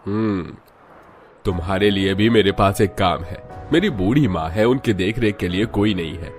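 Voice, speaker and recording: A man's voice: deep voice, normal speed, soft background music, lightly acted. A man voicing earnest village men in a cartoon moral story, speaking emphatically. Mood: grateful, generous. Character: grateful village headman and humble poor young husband.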